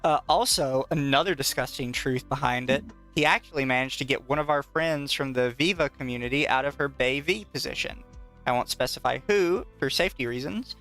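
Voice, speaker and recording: A man co-hosting a podcast in casual chat, often reading aloud with a mocking, profane manner. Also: medium pitch. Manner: mocking, profane, laughs easily. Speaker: podcast co-host. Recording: soft background music.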